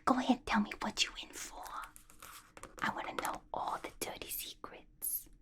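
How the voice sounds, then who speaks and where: A woman voicing a scatterbrained, gossipy, clingy young female villain in a breathy, intimate ASMR whisper, chatty and playful with slangy grammar. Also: moderate pace, lightly acted. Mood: coaxing, friendly. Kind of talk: ASMR roleplay, whispered to the listener.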